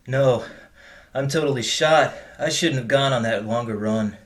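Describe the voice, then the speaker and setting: A man acting a dry-witted partner in an amateur investigation, speaking in a measured way in an audio drama. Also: medium pitch, lightly acted. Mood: exhausted, wry.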